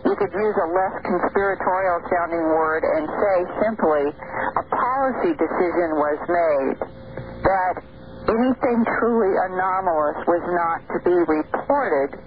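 A woman guest on a radio talk show, explaining her views at a measured pace with pauses in mid-sentence. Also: fairly low pitch. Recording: tinny lo-fi radio broadcast, faint hiss.

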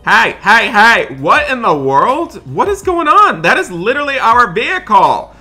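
A man hosting a gaming roleplay as a dad, narrating excitedly in a dramatic, exasperated way and repeating himself. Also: very high-pitched, unhurried. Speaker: gaming video host playing a dad in roleplay. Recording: background music behind voice. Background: music.

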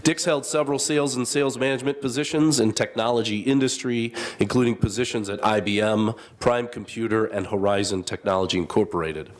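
A man moderating a business panel, reading out speaker introductions in a measured, formal way with small asides. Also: medium pitch, fairly even intonation.

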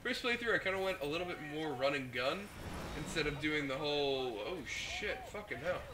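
A man's voice: a little high, expressive, normal speed. Sick young man swearing through gameplay. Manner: profane, reacts out loud to the game. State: sick with the flu, hoarse. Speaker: gaming host. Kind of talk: casual gameplay commentary. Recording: noisy home mic recording.

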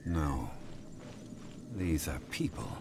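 An actor playing a calm, erudite gentleman in a video game, formal and measured as he explains. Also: very deep voice, vocal fry, background noise, heavily acted. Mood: grave.

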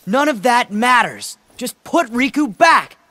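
Earnest, high-voiced teenage boy hero. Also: big pitch swings, unhurried, pushed and bright, no room sound, full character voice. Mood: angry, determined. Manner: earnest, emphatic, defiant. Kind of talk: video game cutscene voice acting.

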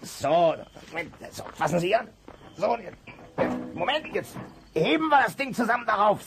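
A working bloke in a radio play, with lively swings in pitch. He is inquisitive and effortful as he hauls loads, heard through clearly noisy old sound. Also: moderate pace. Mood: straining. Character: working man lugging loads, chatty and inquisitive. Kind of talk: German audio drama acting.

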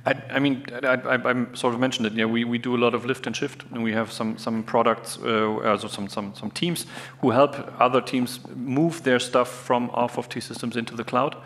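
A man's voice: medium pitch, flat delivery, normal speed, hardly any pauses, fairly bright tone. A man speaking casually on a conference panel, often ending thoughts with "yeah". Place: conference stage before an audience.

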